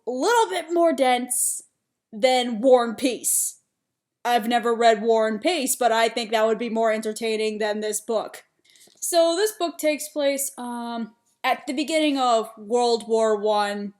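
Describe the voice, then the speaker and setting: Young female vlogger, slightly high pitch, casual and chatty, prone to exasperated rants. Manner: chatty, frequent ums, rising exasperation. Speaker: book vlogger. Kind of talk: casual book review.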